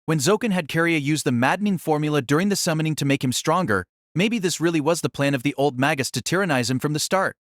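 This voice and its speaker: A man's voice: on the high side, quick pace, hardly any pauses, no room sound, clean studio sound. A man narrating an audio drama in a dramatic, scripted style that rises and falls with the action.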